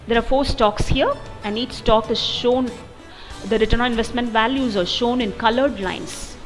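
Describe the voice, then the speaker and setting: A woman narrating an explanatory software demo in a methodical, step-by-step way. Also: a little high, moderate pace. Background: background music.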